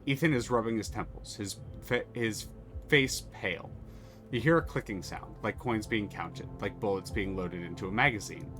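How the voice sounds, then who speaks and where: A man's voice: on the low side, normal speed, a bit bright, faint music behind. A man streaming a story game, reading its text aloud and chatting casually, swearing freely.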